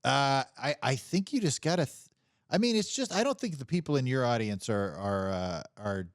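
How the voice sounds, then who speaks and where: A man in a casual livestream conversation, talking in a halting, rambling way with some swearing. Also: medium pitch, lively intonation, no room sound, studio quality.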